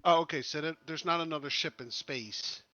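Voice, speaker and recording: A man's voice: fairly high pitch, brisk, pushed and bright, lo-fi sound. A man playing a tabletop game, talking casually as he puts questions to the game master.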